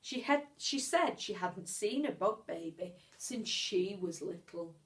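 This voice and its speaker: A woman reading a children's story aloud like a teacher, expressively, with measured pauses and stretched words. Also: medium pitch, animated.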